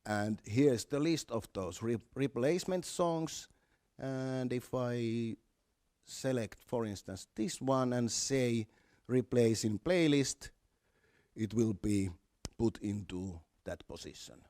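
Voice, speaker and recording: Slow-paced man demonstrating software step by step. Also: mid-range voice, no echo, studio quality. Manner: step-by-step, halting, with repeated words. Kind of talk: live software walkthrough.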